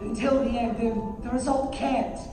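A man's voice: very high-pitched, steady tone, normal speed. A young man playing a reflective, devoted father, telling his story earnestly in a stage play. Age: young adult. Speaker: amateur stage actor. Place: theater stage. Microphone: far audience camera. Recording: distant echoey theater hall, music behind.

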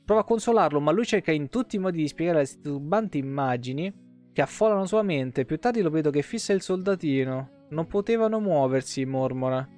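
A man's voice: fairly high pitch, lively intonation, brisk. A man in his thirties with a tiring voice, reading game lore aloud on a livestream with casual asides. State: voice tired and giving out. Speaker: game streamer. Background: soft plucked guitar music.

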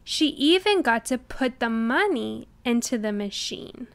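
A woman reading a children's picture book aloud, with deliberate and expressive enunciation. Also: on the high side. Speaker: storybook reader. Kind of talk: children's read-aloud narration.

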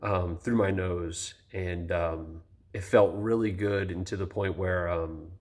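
A man's voice: deep voice, slow. A man in his thirties giving a casual, rambling video review, congested and a little tired after nose surgery, recorded on a close-held phone indoors. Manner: relaxed and rambling, many ums and restarts. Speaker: vlogger. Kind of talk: casual first-person review. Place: indoor room with high ceiling. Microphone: phone camera held close.

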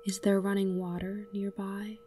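A woman leading a guided meditation in a calm, gentle, unhurried way, speaking in short phrases. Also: medium pitch, recorded from a distance, a little hiss or hum. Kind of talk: guided meditation, slow soft narration. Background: humming singing-bowl tones.

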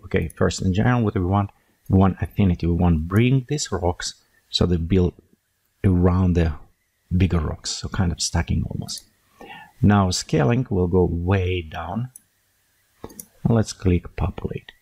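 A man with a delivery, talking through a screen-recorded software tutorial and thinking aloud as he clicks. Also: low-pitched, gravelly, husky.

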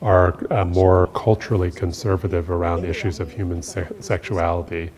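A middle-aged man answers in a sit-down interview. His voice is low and deep. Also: little pitch movement, normal speed, a crowd in the background. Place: indoors in a church, seated interview.

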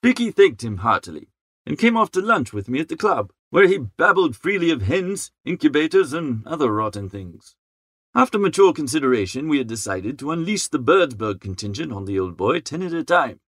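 Male audiobook narrator, lively swooping pitch on the high side, chatty wry delivery for vintage comic fiction. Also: fairly quick, no room sound, clean studio sound. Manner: chatty, wry upper-class idler's phrasing. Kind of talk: scripted first-person comic story narration.

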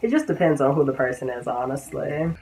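A man's voice: mid-range voice, sing-song, fairly quick, heavy background noise. A man in his twenties from Alabama, the host, talking earnestly about himself on a webcam at home. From Alabama, USA. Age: 20s. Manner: earnest, talkative. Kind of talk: casual self-introduction. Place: bedroom at home.

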